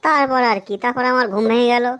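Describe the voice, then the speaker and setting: A woman's voice: a little high, quick pace, a bit bright, lightly acted. A woman voicing a cheeky, smart-alecky young schoolboy in a comic cartoon. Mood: excited storytelling.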